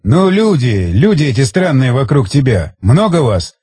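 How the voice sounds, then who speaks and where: A man's voice: medium pitch, big pitch swings, moderate pace, mellow, breathy edge, no room sound. A man voicing a wry, blunt, curious army sergeant in an audiobook, with casual, colloquial questioning. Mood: matter-of-fact.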